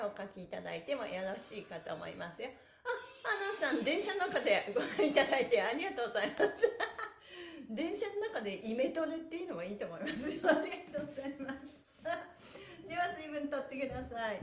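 A middle-aged woman leading a stretching class with energetic, encouraging cues, often in a rhythmic chant. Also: high voice. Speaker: stretching instructor and livestream host. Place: small bare-walled indoor room. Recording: echoey room.

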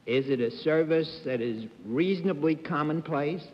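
A man's voice: on the high side, normal speed. A man on a quiz-show panel asking questions with wry jokes in a television studio. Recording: dull lo-fi 1950s broadcast with hall reverb.

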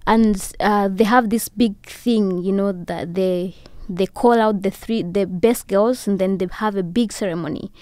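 Young woman, Kinyarwanda native speaker, guest storytelling, laughs softly, close dry studio mic, medium pitch. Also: measured pace, few pauses, no room sound. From Rwanda. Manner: warm storytelling with soft laughs and many "you know"s. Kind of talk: casual interview chat. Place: podcast studio, indoors. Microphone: studio boom mic with headphones.

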